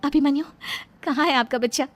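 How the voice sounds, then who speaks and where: A woman's voice: high voice, fairly quick, soft background music, close to an everyday voice. A woman voicing a wronged young daughter-in-law in a cartoon family drama, earnest, indignant and dignified. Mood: firm. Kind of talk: dramatised cartoon story dialogue.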